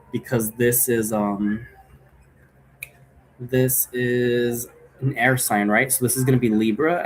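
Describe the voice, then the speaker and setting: A man's voice: mid-range voice, rough recording. A man with a mid-range voice teaches in a loose, unscripted way. He rambles through his explanation and checks that the listener follows. Some ambient noise sits behind his voice. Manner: rambling, checks understanding with "right?". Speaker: teacher explaining occult role-play rules. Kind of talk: casual unscripted explanatory talk.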